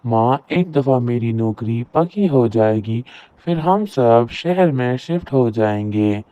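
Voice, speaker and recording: Slow, proud young cartoon son played by a man. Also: medium pitch, dark tone. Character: proud, status-conscious young elder son with office job. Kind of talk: cartoon moral-story dialogue. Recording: roomy echo, very thin phone-like audio.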